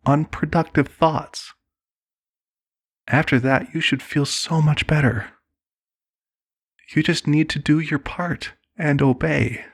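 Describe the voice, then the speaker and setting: Man playing slow, soothing cult leader. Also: fairly high pitch. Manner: slow, soothing, condescending, persuasive. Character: serenely manipulative male cult leader, a chosen lamb. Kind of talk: ASMR roleplay monologue.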